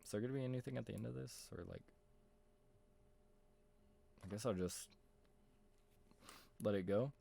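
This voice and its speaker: Low-voiced man rambling casually. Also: normal speed, creaky, long pauses, dark tone. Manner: informal slangy, rambling. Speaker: gaming video host. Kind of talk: casual gaming commentary and reading aloud.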